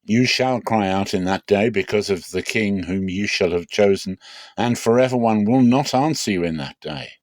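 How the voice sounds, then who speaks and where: A man's voice: on the low side, normal speed, dry recording, close to an everyday voice. Male reader as old prophet, stern, solemn, emphatic warnings, dry sound, dramatic scripture reading.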